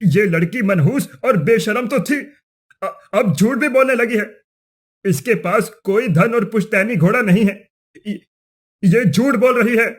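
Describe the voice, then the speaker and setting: High-pitched, flustered greedy village headman played by a man. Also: a little slow. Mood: flustered accusing. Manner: flustered, stammering, pleading. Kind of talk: Hindi cartoon moral-story dialogue.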